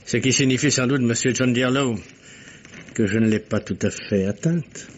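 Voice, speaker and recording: An actor playing a probing, sceptical investigator with dry irony in a vintage French radio mystery, asking clipped, wry questions. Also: male voice, medium pitch, moderate pace, a little hiss or hum, natural voice. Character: probing, sceptical male investigator, dryly ironic. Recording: dull vintage radio recording.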